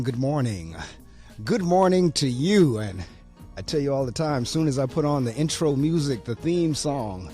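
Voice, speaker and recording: A man's voice: mid-range voice, dark tone. A man hosting a morning radio show and reading the weather, with a slightly froggy voice, in an upbeat talkative way. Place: small college radio studio. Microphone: studio mic.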